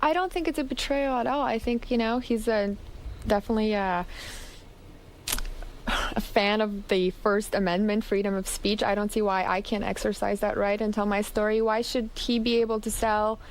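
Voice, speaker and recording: A woman's voice: medium pitch, fairly even intonation, normal speed, slight background noise, rough recording. A woman from Canada speaking conversationally as the guest in a radio interview. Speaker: interview guest, memoir author.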